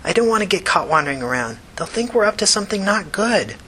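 A man puts on a devout young woman for an audiobook novel, her voice lifted high and bright. She speaks in a hushed, urgent way, earnest and preachy, over clear background noise. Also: very animated, quick pace. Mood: worried, pleading.

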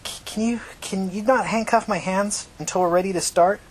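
A man's voice: moderate pace, close to an everyday voice. A man voicing a frightened young woman in an audiobook, with a small, hesitant, pleading manner. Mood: nervous, pleading. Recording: noisy recording with low rumble.

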